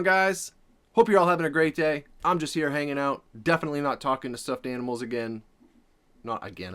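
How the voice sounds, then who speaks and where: Casting: male vlog host, 30s, bright forceful tone, lively swings, jokey and self-deprecating. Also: mid-range voice. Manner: playful, self-mocking, nervous jokiness. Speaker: reaction video host. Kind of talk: casual chat to viewers.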